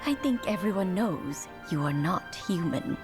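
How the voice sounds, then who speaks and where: A woman voicing a kind, grateful old lady in a game cutscene, speaking warmly. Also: fairly low pitch, animated, a little slow. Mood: tender. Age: older. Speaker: game character. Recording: game audio with music behind.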